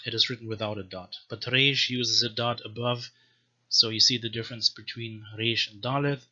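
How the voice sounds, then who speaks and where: A man giving an informal, scholarly language lecture in a deliberate, measured manner, as a teacher explaining and reading aloud. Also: mid-range voice, fairly even intonation, bright, forceful tone. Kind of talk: informal explanatory lecture with slow read-aloud text samples. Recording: dull, lo-fi microphone sound.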